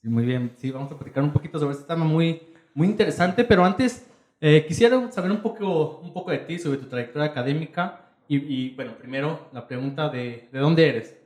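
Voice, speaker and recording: A man's voice: a little high, lively intonation, fairly quick, a little mellow. A man hosting a conversational podcast interview, hesitantly repeating phrases as he searches for words. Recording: echoey, roomy sound.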